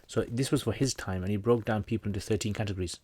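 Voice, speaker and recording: A man's voice: fairly low pitch, fast talker, dark tone, slightly rough. A man teaching and hosting a livestream, chatting casually with viewers in a jokey, rambling way with slangy asides. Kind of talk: casual livestream chat, answering viewer comments.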